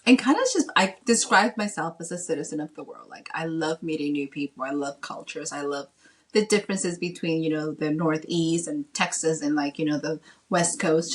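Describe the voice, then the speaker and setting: Lower-pitched woman chatting as an interview guest. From Dominican Republic and Massachusetts. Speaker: guest author. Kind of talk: casual interview chat.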